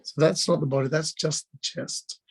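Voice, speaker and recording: A man with a hoarse, strained voice from being sick, informally explaining drawing step by step as a teacher on a live video call. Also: fairly high pitch, steady tone, normal speed. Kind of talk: casual live online drawing lesson, talking while drawing.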